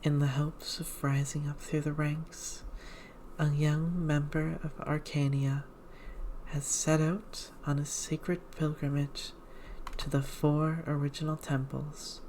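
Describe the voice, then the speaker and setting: A woman speaking in a hushed, measured ASMR style, slipping into whispers as she reads a game aloud. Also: low-pitched, level tone, a little hiss or hum, poor audio. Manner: hushed, slow, measured reading with whispered passages. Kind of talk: ASMR, whispered and soft-spoken game read-through.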